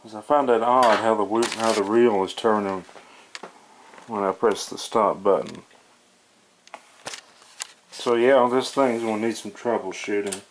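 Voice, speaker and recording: Slow-talking man, halting, noisy recording. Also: lowish voice. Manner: halting, thinking aloud while tinkering. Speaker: hobbyist demonstrating a reel-to-reel tape recorder. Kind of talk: casual off-the-cuff video narration. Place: small cluttered room at home, indoors. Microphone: camera microphone near the equipment. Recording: noisy camera audio with clicks.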